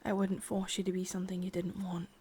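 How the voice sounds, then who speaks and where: A woman with an English accent playing a sadistic, possessive witch in a hushed, breathy ASMR roleplay, slow and coaxing. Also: lowish voice, monotone. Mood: coaxing, careful.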